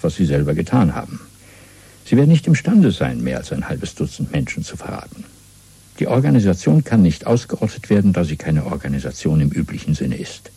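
A senior party official from a radio drama, coolly authoritative and secretive. His voice is dark and very husky, recorded close and dry. He instructs in measured, deliberate sentences. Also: low-pitched, no echo, heavily acted. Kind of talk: 1970s German radio play acting.

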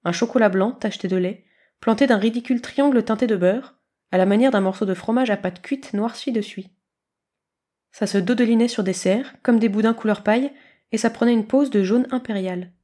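A woman reading a scripted story aloud as an expressive narrator. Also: fairly high pitch, brisk. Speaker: podfic reader.

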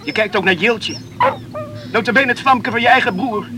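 An actor playing a torn, brooding young islander who talks to himself in agitated self-reproach in a radio play. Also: male voice, high-pitched, moderate pace, background noise, lightly acted. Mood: self-reproachful.

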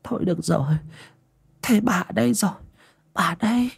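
A frail, protective old grandmother in her eighties from an audio story, played by a man. The voice is pitched high, with anguished, drawn-out words. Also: vocal fry, breathy edge, heavily acted. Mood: soothing. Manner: wailing, drawn-out pleading.

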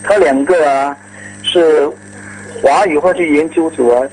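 A man reminiscing casually in a halting way, ending phrases on drawn-out particles. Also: on the high side, a little mellow, a little hiss or hum, poor audio. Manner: halting, frequent trailing particles.

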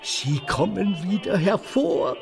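An actor playing a gleeful old asthmatic man in a radio drama, wheezy and excitedly cackling. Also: high voice, takes it slowly, vocal fry, music in the background, a crowd in the background, full character voice. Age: older.

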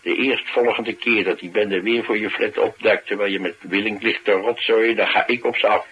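A man acting a deep-voiced, streetwise tough guy. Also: steady tone, moderate pace, lo-fi sound, close to an everyday voice. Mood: coolly menacing. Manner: colloquial, matter-of-fact tough talk. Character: tough, streetwise male bodyguard, cynical and coolly menacing. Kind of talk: naturalistic radio drama dialogue.

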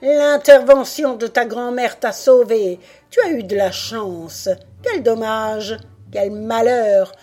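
A woman's voice: fairly high pitch, slow, mellow, faint music behind. A woman voicing a furious old witch in a storybook tale, grating and commanding. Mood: menacing regret. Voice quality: grating, screeching. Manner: commanding, impatient, menacing. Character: furious shrieking old witch, night washerwoman from the marsh.

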